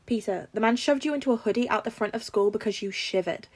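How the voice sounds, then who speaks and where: A woman's voice: mid-range voice, fast, lightly acted. A woman voicing a frank, supportive teenage boy with a casual, insistent manner in a story reading. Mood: teasing.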